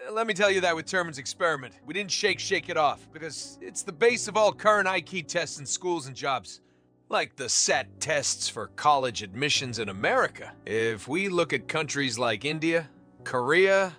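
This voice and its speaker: A man's voice: fairly high pitch, pushed and bright. A man narrating an explainer video as a comic voice-over, playful and jokey, talking straight to the viewer.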